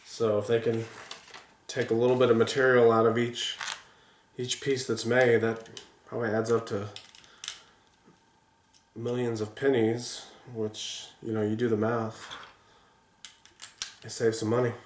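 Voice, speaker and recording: A man's voice: medium pitch, flat delivery, measured pace, pauses often, roomy sound, a little hiss or hum. A man with a stuffy, congested voice from a sinus infection, chatting casually and rambling with many restarts as he builds a toy set. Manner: rambling, frequent restarts and fillers. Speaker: vlogger building toy sets. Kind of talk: casual chat while building.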